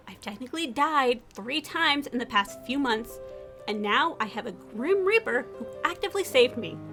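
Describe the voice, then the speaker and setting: Woman as a high-voiced, defiant young survivor. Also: fairly bright tone. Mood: defiant, angry. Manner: sardonic, emotionally raw. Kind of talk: scripted audio drama acting with first-person narration.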